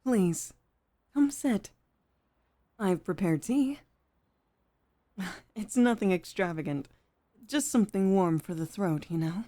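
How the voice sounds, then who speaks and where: A woman playing a poised, gentle head maid off duty in an intimate ASMR roleplay, speaking with formal, measured politeness. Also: medium pitch, animated. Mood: gentle, welcoming.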